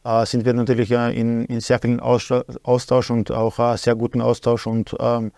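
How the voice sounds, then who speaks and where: An Austrian man in his thirties talks as a guest, stopping often with fillers and starting his sentences over. His pitch stays flat and even. The sound is close and dry. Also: normal speed, vocal fry, no echo. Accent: Austrian German. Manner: hesitant, many fillers and restarts. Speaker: guest, sporting director. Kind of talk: casual talk show chat.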